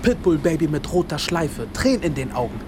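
A man's voice: a little high, very animated, brisk, background noise. An actor playing a bitter young man from an immigrant neighbourhood in a radio drama monologue, speaking in rhythmic short phrases with insistent repetition. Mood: wry, driven. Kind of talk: literary radio drama monologue, spoken-word delivery.

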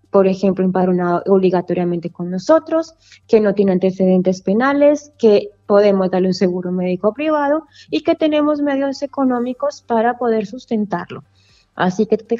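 A woman's voice: a little high, mellow, dull audio. A woman lawyer explaining immigration paperwork in a radio interview, full of asides and self-corrections. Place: office in Valencia, Spain.

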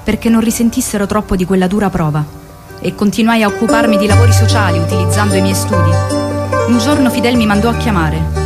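An actress playing a tender, grieving widow of a revolutionary, recalling the past in an intimate, measured stage monologue. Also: female voice, mid-range voice, lightly acted. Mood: heartfelt. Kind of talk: theatrical dramatic monologue. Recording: music behind the voice.

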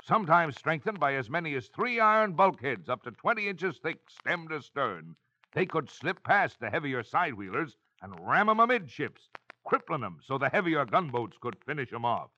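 An actor playing a hearty old sailor with salty nautical talk and theatrical swagger, in mid-century radio style. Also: male voice, mid-range voice, bright, forceful tone, dry recording. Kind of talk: 1940s-50s radio acting, scripted monologue. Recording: dull, lo-fi old radio recording.

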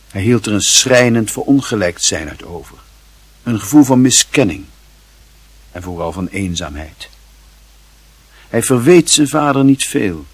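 Male radio play narrator: deep-leaning, heavily rasped, measured and dramatic literary delivery. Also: no echo.

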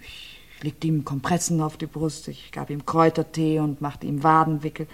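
An actress playing an abused widow being questioned about her husband's death, with hesitant, halting answers that often sink to a near whisper. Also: level tone. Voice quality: low, subdued, often drops to a near whisper. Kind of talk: radio crime play.

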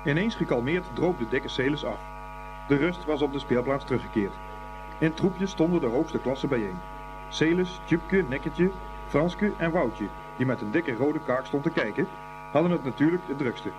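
A man's voice: a little high, expressive, moderate pace, background noise. A man narrating a scripted story as the narrator of a radio play. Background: music.

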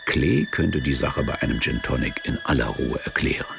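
A man narrating a literary radio drama in a measured, deadpan manner. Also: extremely low pitch, creaky. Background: music behind the voice.

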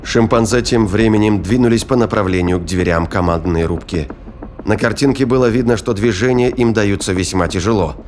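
A man's voice: on the low side, brisk, a little mellow, a little hiss or hum. A man delivering scripted dramatic narration for a science fiction audio play. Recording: prominent music and battle effects behind.

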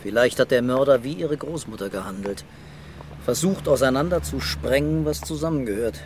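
An actor playing a measured, terse police commissioner leading a murder inquiry in a German radio crime drama. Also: male voice, medium pitch, slight background noise, close to an everyday voice. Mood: pensive.

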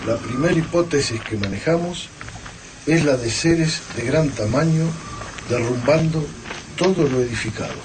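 A man's voice: fairly high pitch, normal speed, close to an everyday voice. Distant, analytical man survivor played by an actor. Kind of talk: radio drama acting. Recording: distant mic, clear background noise.

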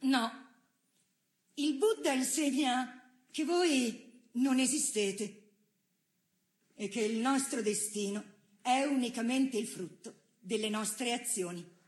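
A worn, steadfast woman held prisoner in a stage drama. She speaks in a fairly high voice, very slowly and solemnly, measuring out each phrase with gentle resolve. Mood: calm, resolute. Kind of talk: stylized stage theatre monologue and dialogue.

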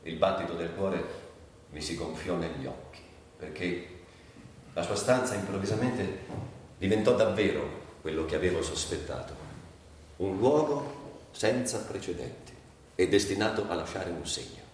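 A man's voice: low voice, moderate pace, pauses often, darkish tone. Theatrical man in a very echoey space. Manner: theatrical, brooding delivery. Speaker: actor performing a monologue. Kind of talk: dramatic literary stage monologue. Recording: very echoey room, distant, faint noise.

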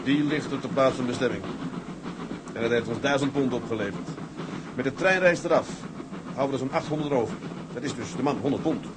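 Fatherly, bossy captain played by a man, quick-talking, mid-pitched, radio play over loud train noise. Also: natural voice. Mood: matter-of-fact. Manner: authoritative, matter-of-fact. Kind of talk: radio drama acting. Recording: loud train rumble behind.

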